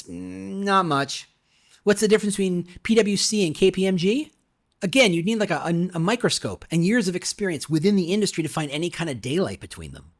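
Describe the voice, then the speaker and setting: A man reading his own nonfiction book in a conversational way, with rhetorical questions and emphatic asides. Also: fairly high pitch. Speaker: author reading own audiobook. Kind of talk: conversational scripted nonfiction narration.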